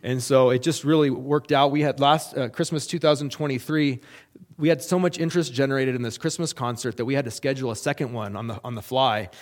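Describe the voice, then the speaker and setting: A middle-aged man, a missionary, giving a halting, earnest report to a church with many fillers and restarts. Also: mid-range voice, fairly even intonation. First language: English.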